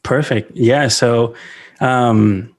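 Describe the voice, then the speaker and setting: Venezuelan-born man, halting podcast guest. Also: mid-range voice, steady tone. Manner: halting, many fillers and restarts, laughs often. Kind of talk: casual interview talk.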